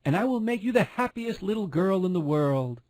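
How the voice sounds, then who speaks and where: A man's voice: sits high, expressive, normal speed, a little mellow, no echo, voice pushed up. A man voicing a kind, lonely, tender woman who longs to mother, in a storybook. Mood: tender joy. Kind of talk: storybook character voice.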